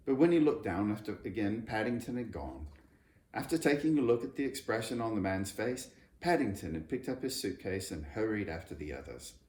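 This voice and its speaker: A man narrating a children's story in an expressive, scripted storytelling style. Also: on the low side. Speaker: storybook reader. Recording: roomy echo, background noise.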